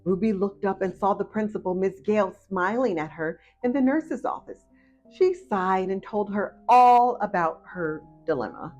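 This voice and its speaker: A woman reading a children's picture book aloud as a storyteller, with expressive, excited asides to her young listeners. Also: medium pitch, moderate pace, highs cut off, faint music behind. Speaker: read-aloud storyteller and host.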